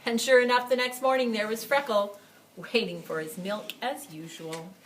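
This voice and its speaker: A woman reading a picture book aloud to children as a library storyteller, with expressive, emphatic phrasing and chatty asides. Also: a little high, normal speed, a little hiss or hum, low-quality recording. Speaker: story time reader for a school library.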